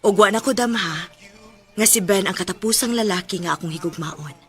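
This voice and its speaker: A woman acting the gentle heroine of a Cebuano radio love story, a married woman attached to an older man. She has a medium-pitched voice with a Cebuano accent and an affectionate manner. Faint music runs behind her. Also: fairly quick, lightly acted. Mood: wistful narration.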